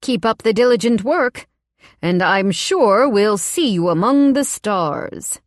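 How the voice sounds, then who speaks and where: A woman's voice: mid-range voice, lively intonation, slow pace, dry recording, studio quality, pitched above the performer's own voice. A woman voicing a tall, cold, sinister man with a vaguely British accent, clipped and menacingly courteous. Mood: icily polite. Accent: vaguely British, not quite British or American.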